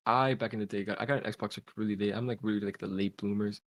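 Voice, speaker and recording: A young man in his twenties tells his story as a podcast guest over a call. He talks very fast in a lowish voice, rambling and leaning on "like". The sound is close and dry, with no room around it. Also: steady tone, no room sound. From Cuba, raised in Pennsylvania. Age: 20s. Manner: rambling, heavy use of "like". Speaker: podcast guest, content creator. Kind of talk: casual storytelling. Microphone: podcast call mic.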